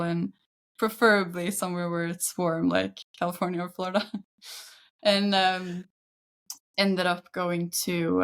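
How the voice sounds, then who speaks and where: A woman's voice: fairly low pitch, a bit bright. A woman in her thirties from Sweden with a Swedish accent, chatting casually as a guest in an interview. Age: 30s. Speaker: podcast guest, football agent.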